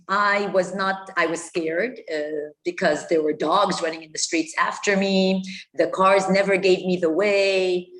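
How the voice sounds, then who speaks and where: A woman's voice: lowish voice, low-quality recording. A woman from Egypt in her fifties, earnestly telling her own life story with many fillers and restarts. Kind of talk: personal story talk.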